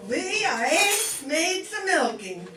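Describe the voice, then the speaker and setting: Woman playing a high-voiced, cheery milkmaid. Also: sing-song, slow pace, noisy background, exaggerated voice. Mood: cheerful. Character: cheery, matter-of-fact milkmaid. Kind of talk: amateur comedic radio play acting. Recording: roomy, distant mic, crowd behind.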